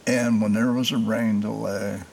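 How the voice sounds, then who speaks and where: Gravelly older man hosting a podcast. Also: on the low side, flat delivery, darkish tone, slight background noise. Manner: halting, with many "uh" pauses. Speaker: podcast host. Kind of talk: casual interview.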